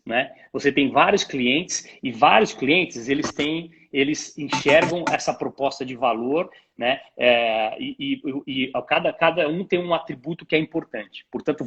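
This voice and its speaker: A man with a Brazilian accent and a husky voice, explaining things conversationally as an expert guest, in a didactic way with rhetorical questions, on dull lo-fi call audio. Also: a little high, moderate pace, hardly any pauses, fairly bright tone. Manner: didactic, illustrates points with imagined dialogues and rhetorical questions. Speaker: guest retail pricing expert. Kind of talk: conversational explanation to a live stream host.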